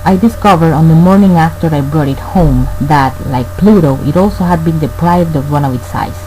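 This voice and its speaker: An actor playing a tormented man narrating a gothic horror tale, formal, grave and measured in a radio play. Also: on the high side, darkish tone, close to an everyday voice, rough recording. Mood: dread, confessional. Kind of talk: scripted dramatic narration. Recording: faint background noise and hum.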